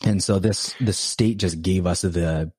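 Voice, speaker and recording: A man's voice: low-pitched, steady tone, a little slow, a little mellow, slightly rough, no room sound. A man in his thirties chatting as a podcast guest, easygoing, laughing often and rambling with restarts. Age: 30s. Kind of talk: casual interview chat.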